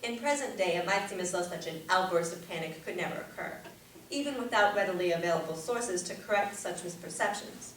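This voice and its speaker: A young adult woman delivers a rehearsed persuasive speech in a formal, deliberate, projected manner, as a competitive public speaker. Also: on the low side. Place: echoey indoor room at a speech tournament. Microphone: distant camera or room microphone. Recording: very echoey room, distant mic, faint noise.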